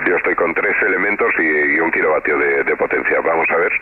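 A man's voice: a bass voice, brisk. A man with a Spain Spanish accent, casually giving audio reports as an amateur radio operator. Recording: narrow tinny band, clear background noise.

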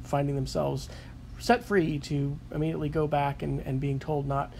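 A man speaks in a gravelly, slightly raised voice. He reads scripture, then recaps a sermon in a reflective, unhurried way with frequent fillers. A faint hiss sits under the recording. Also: a little high, steady tone, a little mellow. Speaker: sketchnote narrator. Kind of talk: reading scripture and summarizing a sermon.

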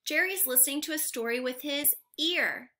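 Bright, high-pitched, slow woman teacher. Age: young adult. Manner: animated, exaggerated, enunciated for little learners. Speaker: teacher reading aloud to young children. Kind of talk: child-directed read-aloud with simple questions. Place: living room at home, indoors.

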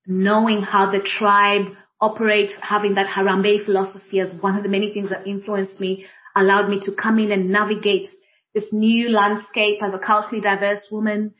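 A woman from Kenya, a diversity and inclusion practitioner speaking thoughtfully on a panel, pausing often with fillers. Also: mid-range voice, flat delivery. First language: Swahili. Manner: thoughtful, many fillers and restarts. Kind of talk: reflective panel conversation. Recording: dull lo-fi audio.

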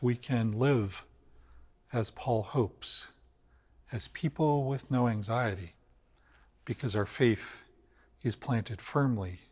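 Unhurried older priest in a reverberant church. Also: male voice, medium pitch. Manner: measured, earnest, slows for emphasis. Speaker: cathedral rector. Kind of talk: weekly video reflection on scripture. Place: inside a large empty church. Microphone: camera at mid distance.

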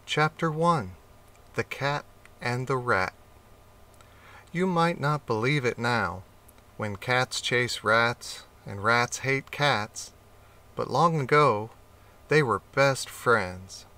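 Slow, bright-voiced male storybook reader. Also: medium pitch. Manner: slow, gentle, expressive reading. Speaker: audiobook reader. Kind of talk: children's storybook reading.

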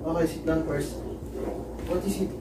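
A woman's voice: low-pitched, fairly even intonation, normal speed, noisy background, lightly acted. A woman playing a stern, demanding father in an amateur melodrama. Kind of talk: amateur one-act melodrama acting. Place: living room, far camera mic. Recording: echoey room, distant mic, music over it.

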